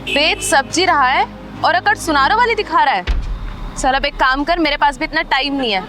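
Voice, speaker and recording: A woman's voice: sits high, animated, a little fast, pushed and bright, faint music behind. A young woman playing an arrogant, argumentative customer in a short moral drama. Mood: haughty. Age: young adult. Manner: haughty, argumentative. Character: arrogant, haggling, rude young female customer.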